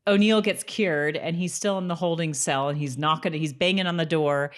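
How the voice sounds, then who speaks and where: A woman's voice: deep voice, fairly quick. A woman co-hosting a podcast, talking in a casual, conversational way about a TV episode. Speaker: podcast co-host.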